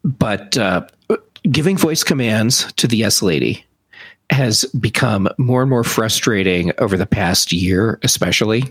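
Husky, lowish-voiced man talking tech. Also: dry recording. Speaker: tech podcast co-host. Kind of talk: casual tech podcast chat.

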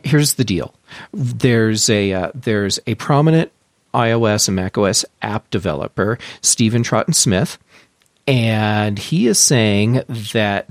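A man hosts a relaxed tech podcast, walking listeners through the news step by step. His voice sits at a mid pitch, and the close mic sounds dry. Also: no room sound. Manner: chatty, explains things step by step. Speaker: podcast host. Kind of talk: casual tech podcast chat.

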